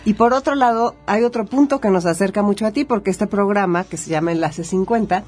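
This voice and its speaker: Husky woman co-hosting TV. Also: medium pitch, a little fast. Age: middle-aged. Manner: warm, chatty, laughing easily. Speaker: TV show co-host. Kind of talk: talk show interviewing.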